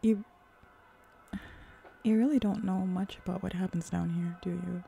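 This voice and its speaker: Flirty female hellhound played by a woman for ASMR roleplay: eager, teasing, mellow, gravelly fry. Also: lowish voice, steady tone, normal speed, soft background music. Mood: curious, flirty. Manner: teasing, sultry, with breathy whispers. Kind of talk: roleplay ASMR audio drama.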